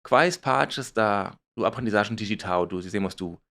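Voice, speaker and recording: A man's voice: on the low side, moderate pace, creaky, a bit bright. A man with a German accent, a startup founder speaking as a guest, searching for words and restarting his phrases. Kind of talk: conversational interview.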